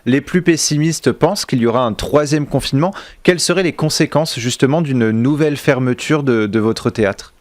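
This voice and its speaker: A man hosting a radio interview, putting his questions in a halting way with repeated words. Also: mid-range voice, darkish tone, no room sound.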